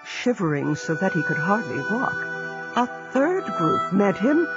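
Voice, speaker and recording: A narrator telling a historical story in a measured, scripted way. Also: mid-range voice. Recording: dull lo-fi audio under clear music.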